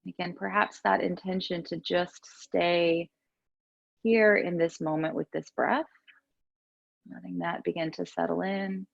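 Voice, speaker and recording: A woman teaching yoga and meditation, giving gentle, soothing, unhurried guidance with pauses between instructions. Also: fairly low pitch, fairly even intonation, gravelly, no room sound, muffled recording.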